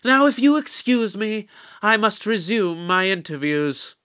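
A man playing a shrewd, authoritative detective in an audio drama, with a pompous, deliberate, probing delivery. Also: high voice, animated, fairly bright tone, clean tone, highs cut off. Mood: suspicious, brisk. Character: shrewd, authoritative male time-travelling detective, pompous and suspicious.

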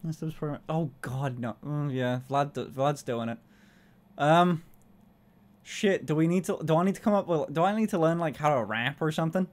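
A woman's voice: sits low, normal speed, darkish tone. A young woman streaming from her bedroom, chatting casually, swearing and laughing often. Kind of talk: casual chat. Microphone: desk mic on arm.

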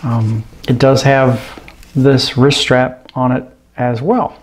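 A man's voice: mid-range voice, fairly even intonation. Hesitant man reviewing a radio. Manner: unhurried, hesitant, many ums and uhs. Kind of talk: casual hands-on product review. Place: indoor room at a desk with gear. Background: radio noise and static at times.

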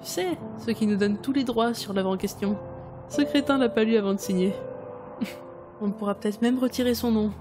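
Woman acting a high-voiced, strong-willed book editor. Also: moderate pace, lightly acted. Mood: wry, collected. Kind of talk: French audio drama acting. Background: music.

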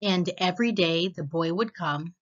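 A woman narrating a children's storybook as read-aloud host, expressive and deliberate, with slightly muffled sound. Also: fairly low pitch.

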